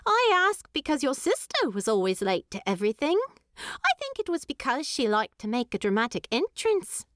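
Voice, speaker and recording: A woman voicing a prim, teasing young hobbit lass in an audiobook, with a brisk, pert manner. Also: high voice, huge pitch swings, fairly bright tone, no room sound, clean studio sound, voice pushed up, heavily acted. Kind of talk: audiobook character voice.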